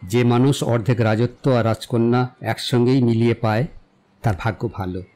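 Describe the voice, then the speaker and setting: An actor playing a self-assured young romantic in an audio drama, speaking in a poetic, declamatory and philosophizing way. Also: male voice, mid-range voice, fairly even intonation, normal speed, dark tone, lightly acted. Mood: impassioned, proud. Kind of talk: dramatized audio story acting. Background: background music.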